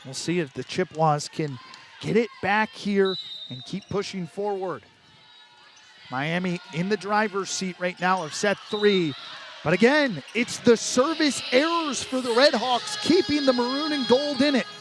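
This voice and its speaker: A man's voice: high-pitched, unhurried, over music. A man doing live sports play-by-play as a commentator, over the sound of the venue.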